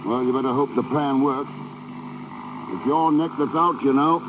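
An actor playing a cool, commanding secret agent in a 1950s radio drama, speaking in a dry, clipped, self-assured way. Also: male voice, mid-range voice, fairly even intonation, normal speed, lightly acted. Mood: brisk, wry. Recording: noisy vintage 1950s radio recording.

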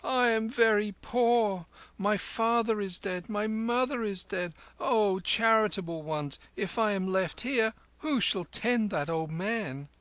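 A man reading aloud, voicing a clever teenage boy who pleads and wheedles in a high, eager voice. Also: sing-song, measured pace, pushed and bright, dry recording, full character voice. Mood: pleading. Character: street-smart teenage boy, pleading and quick.

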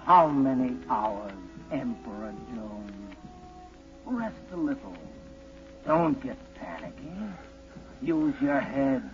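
An actor playing an ominous narrator in a 1940s radio drama, speaking directly to the hero in a deliberate, brooding, dramatic way. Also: male voice, medium pitch, very animated, soft background music. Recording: muffled 1940s radio broadcast.

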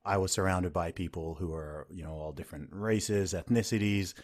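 A man who grew up in Saudi Arabia, hosting a casual conversation and talking in a loose, animated way with mocking asides. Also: low-pitched, moderate pace.

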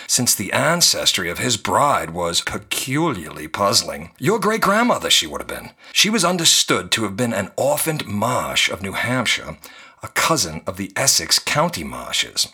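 An actor playing a courteous, knowing male curator in a radio drama, gossipy and deliberate, with meaningful pauses. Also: lowish voice, wildly swooping pitch, sharp tone, husky, full character voice. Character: courteous, knowing male small-town historical society curator.

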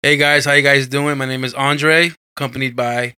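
A man's voice: medium pitch, flat delivery, moderate pace, bright, forceful tone, clean tone, dry recording, studio quality. A man from the United States hosting a podcast in a casual, chatty style. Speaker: podcast host. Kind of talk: casual chat.

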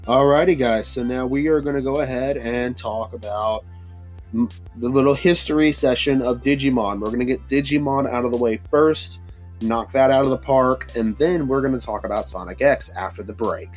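A man's voice: medium pitch, normal speed, faint music behind. A man hosting a casual solo podcast, stuffy-nosed and rambling with lots of fillers and restarts. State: stuffy nose. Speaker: podcast host. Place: hot humid home studio with fans running.

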